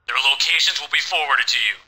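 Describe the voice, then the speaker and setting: A man's voice: on the high side, quick pace, sharp tone, rough recording. A man playing an urgent, gung-ho military commander giving orders in a game mission briefing. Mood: brisk, commanding.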